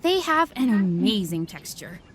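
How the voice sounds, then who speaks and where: A woman's voice: medium pitch, big pitch swings, measured pace, slight background noise. A woman voicing a polite, helpful young restaurant waitress in a video game. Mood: polite, proud. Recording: faint music under game dialogue.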